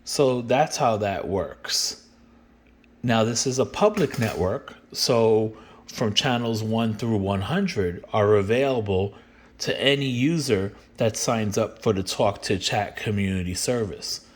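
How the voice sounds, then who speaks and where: A man with a fairly low voice walks the listener through a product as a company representative. His delivery is plain-spoken and explanatory, in the style of an informal demonstration with a sales pitch. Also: slow.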